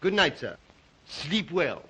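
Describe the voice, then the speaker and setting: A man playing a sinister, courteous aristocratic count in old film melodrama style, speaking formally and deliberately. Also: on the high side, big pitch swings, pushed and bright, slightly rough, full character voice, low-quality recording. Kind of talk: 1930s film melodrama acting.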